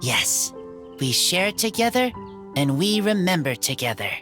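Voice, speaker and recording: A man voicing an earnest, plain-spoken wild teenage boy in a video game, in halting, simple phrases. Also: high-pitched, sing-song, music in the background. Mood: gentle.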